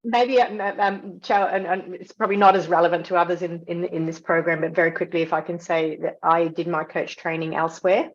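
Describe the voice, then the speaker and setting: A woman with a low voice leads an online class discussion. She encourages people and rambles a little. The video call audio sounds dull and lo-fi. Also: flat delivery, a little fast, few pauses. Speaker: course facilitator.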